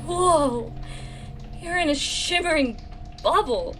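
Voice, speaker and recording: A woman playing a plucky, excitable, flippant teenage girl in an audio drama. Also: sits high, slow, faint music behind, full character voice, low-quality recording. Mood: excited.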